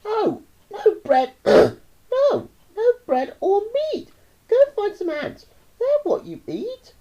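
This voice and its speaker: A reader putting on a shrill, shouting little boy. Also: wildly swooping pitch, slow pace, muffled recording, exaggerated voice. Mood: shouting, bossy. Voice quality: very high and shrill. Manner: yelling orders. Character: excitable young boy, bossy and shouting.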